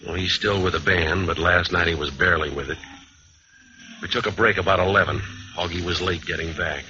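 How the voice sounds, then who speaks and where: A man acting a cynical, world-weary cornet player in a radio noir, with clipped, deadpan, wisecracking delivery. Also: sits low, a little fast. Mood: deadpan narration. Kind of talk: 1950s radio noir acting, hard-boiled narration. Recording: dull, lightly hissy old radio recording.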